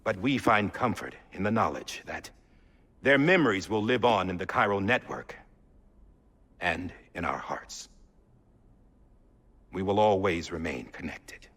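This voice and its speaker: A husky solemn president. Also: male voice, low voice, expressive, fairly bright tone, full character voice. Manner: solemn, oratorical, measured. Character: solemn middle-aged male president giving speech. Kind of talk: speech in a video game cutscene.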